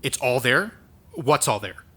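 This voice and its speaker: An actor playing an exasperated, bitter young archaeology scholar in an audio drama, sarcastic and skeptical. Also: male voice, medium pitch, sing-song, measured pace, a bit bright, husky, heavily acted. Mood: pleading. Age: young adult.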